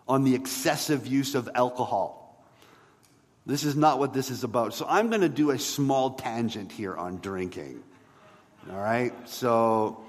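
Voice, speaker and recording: A man preaching a sermon as a pastor, in a conversational way with rhetorical questions and emphatic pauses. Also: mid-range voice. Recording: long hall reverb.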